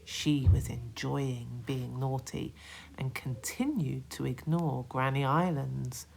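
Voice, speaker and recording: Low-voiced woman reading a storybook, very slowly. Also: creaky, poor audio. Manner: deliberate, expressive, hushed at times. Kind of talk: children's storybook narration.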